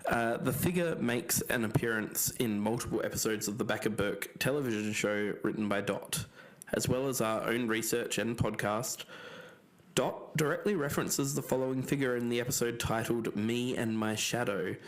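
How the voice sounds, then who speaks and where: A man's voice: medium pitch, moderate pace, close to an everyday voice, poor audio. A man playing a sober, methodical podcast investigator, explaining evidence carefully and with some hesitation. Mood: careful, serious. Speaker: podcast co-host. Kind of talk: scripted fiction-podcast narration.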